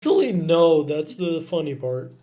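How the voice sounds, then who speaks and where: A man's voice: fairly high pitch, big pitch swings, normal speed, mellow. A man from Brazil chatting casually as a guest on a livestream, with some swearing. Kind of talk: casual chat.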